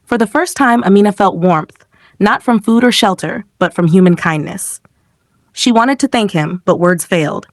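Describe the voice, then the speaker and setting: A woman's voice: medium pitch, moderate pace, no room sound. Female storyteller for scripted dramatic folktale narration, dry intimate recording.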